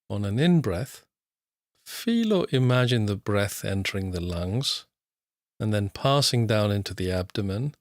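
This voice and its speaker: A man with a fairly low voice guides a meditation in a dry recording with very little room sound. He speaks in short, measured instructional phrases. He leaves long, unhurried pauses between them. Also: no room sound. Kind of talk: guided body scan meditation, slow instructional narration.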